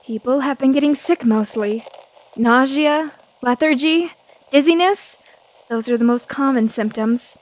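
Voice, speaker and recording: An actress playing a brash, tenacious 1930s newspaper reporter, feisty and full of snappy period slang. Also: female voice, high voice, unhurried, low-quality recording. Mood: insistent. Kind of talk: old-time radio drama acting.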